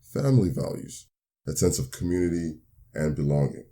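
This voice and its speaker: A man with a very deep voice hosts his own motivational podcast. His tone is mellow and warm. He speaks in an upbeat, earnest and measured way, slowing down when he repeats definitions. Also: animated. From Nigeria. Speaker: podcast host. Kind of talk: solo motivational podcast talk.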